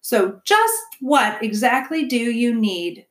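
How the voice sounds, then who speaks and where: A woman's voice: on the high side, lively intonation, takes it slowly, roomy sound, voice pushed up. A woman voicing a grumbling, stubborn beaver husband in a children's storybook, blustery, grudging and fussy. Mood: businesslike.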